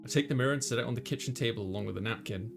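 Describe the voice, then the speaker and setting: A man's voice: medium pitch, rapid-fire. Man narrating a visual novel over music. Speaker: let's player voicing a visual novel. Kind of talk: reading game narration and dialogue aloud, dramatized. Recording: music playing behind the voice. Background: clear background music.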